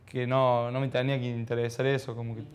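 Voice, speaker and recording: A young Argentine man of around twenty answers interview questions in a smooth, clean voice. His intonation stays fairly flat, and he speaks modestly and haltingly, like a young athlete unused to talking about himself. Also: mid-range voice. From Argentina, childhood in Ecuador. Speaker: professional footballer being interviewed.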